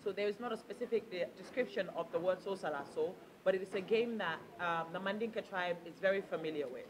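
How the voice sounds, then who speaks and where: A woman's voice: lowish voice, fast, noisy background. A woman from Gambia hosting a press conference, with a big projecting voice and an upbeat, chatty manner.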